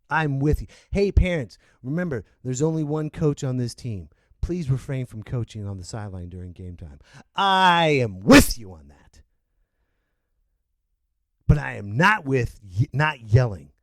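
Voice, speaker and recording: A man hosting a podcast, ranting loudly and bursting into sudden yells. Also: medium pitch, no echo, studio quality. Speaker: podcast host. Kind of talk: opinion rant.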